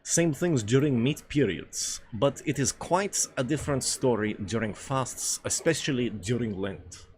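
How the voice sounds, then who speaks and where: A man voicing a jovial, tipsy, boastful Russian patriarch in a storybook read-aloud, with an attempted Russian accent and a drawn-out, chummy delivery. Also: mid-range voice, animated, slight background noise, natural voice. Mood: jovial, savoring.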